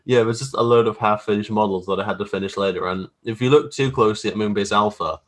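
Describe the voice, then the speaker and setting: A man's voice: fairly low pitch, fast talker. A man chatting casually as an interview guest, informal, wry and self-deprecating about his own work as a graphic artist.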